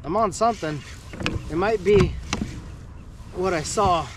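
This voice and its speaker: A man's voice: sits high, unhurried, noisy background. A man with a groggy voice, chatting casually as he fishes from a kayak out on the water. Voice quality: groggy morning voice. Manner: relaxed, upbeat, chatty. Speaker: fishing vlogger. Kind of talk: casual running commentary while fishing. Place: outdoors on a kayak at sea.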